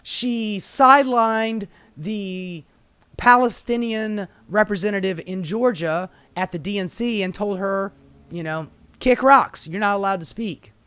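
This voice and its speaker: American male, high voice, dry close sound, casual political commentator, sardonic, exasperated, salty-tongued. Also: unhurried, sharp tone, clear, resonant tone, dry recording. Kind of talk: casual opinionated commentary on news articles.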